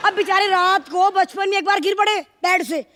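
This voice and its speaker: A woman playing a loud, dramatic, scolding village wife and mother in live TV sketch comedy. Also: very high voice, normal speed, some chatter behind. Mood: scolding, outraged. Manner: theatrical, scolding, exclaiming. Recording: noisy stage with studio audience.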